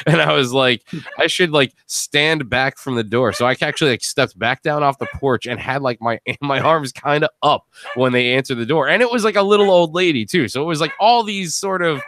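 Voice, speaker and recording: A man's voice: medium pitch, fairly bright tone, no echo. A man hosting a casual panel chat, telling rambling, jokey stories. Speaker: podcast host.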